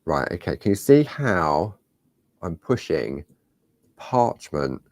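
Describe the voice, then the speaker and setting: A man with a slightly croaky voice, demonstrating a parchment craft step by step and chatting to himself as he works. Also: low voice, sing-song, takes it slowly, darkish tone, no room sound, studio quality. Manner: chatty, talks to himself while working. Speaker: craft tutorial host.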